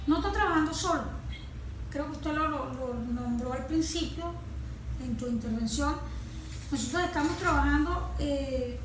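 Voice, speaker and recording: A Venezuelan woman answering as an interview guest, explaining proposals in a halting way with restarted phrases. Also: sits high. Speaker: interview guest, organization representative. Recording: very echoey room, clear background noise.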